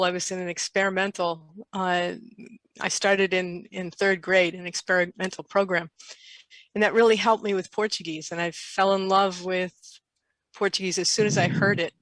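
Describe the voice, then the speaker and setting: Bright-voiced older woman telling stories. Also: medium pitch, normal speed, dry recording, low-quality recording. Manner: lively anecdotal storyteller, quotes herself. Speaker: interview guest. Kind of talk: casual storytelling.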